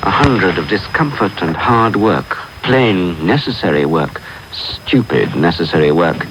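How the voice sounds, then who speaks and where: Casting: weary soldier diarist, low but very varied voice, noisy radio recording. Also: male voice, lively intonation, a little slow. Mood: weary, bitter. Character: war-weary serving soldier reading his wartime diary. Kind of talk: dramatised diary reading.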